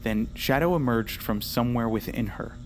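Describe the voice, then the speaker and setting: A man playing an awkward, earnest archive researcher in a naturalistic audio drama, halting, apologetic and bookish. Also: mid-range voice, faint music behind, lightly acted. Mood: earnest, explaining.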